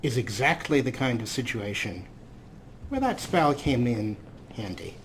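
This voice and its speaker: Guy doing playful let's play commentary, really lively pitch, wry and wordy, noisy game background. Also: a little slow. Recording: clear background noise, game ambience.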